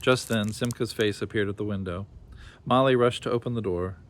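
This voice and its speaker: A man reading a children's story aloud as an expressive storyteller. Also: fairly low pitch, fairly quick, slight background noise.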